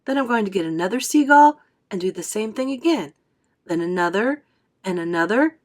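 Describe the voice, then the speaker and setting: A woman's voice: medium pitch, animated, normal speed, pitched above the performer's own voice, natural voice. Woman acting a confident, determined young boy. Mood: firm, calm. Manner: firm, explanatory.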